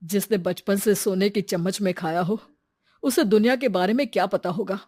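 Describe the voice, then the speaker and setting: A woman's voice: medium pitch, moderate pace, a little mellow, dry recording, studio quality, close to an everyday voice. A woman voicing a responsible elder brother in a cartoon story, speaking earnestly and reasonably.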